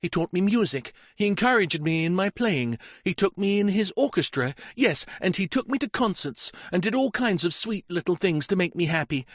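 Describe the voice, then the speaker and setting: A man's voice: high voice, expressive, moderate pace, few pauses, a bit bright, no room sound, studio quality, pitched above the performer's own voice. A man voicing a distraught young woman. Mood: impassioned. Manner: impassioned, tearful outbursts.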